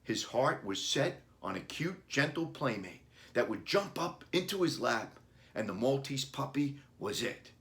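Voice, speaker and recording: A man narrating a children's storybook as its author, with deliberate phrasing broken into short chunks. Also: mid-range voice, sing-song, a bit bright. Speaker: author reading his children's book.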